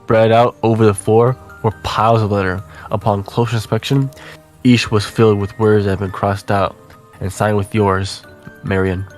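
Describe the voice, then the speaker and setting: A man reading a visual novel aloud as dramatic narration, with deliberate, suspenseful phrasing. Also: lowish voice, flat delivery, soft background music.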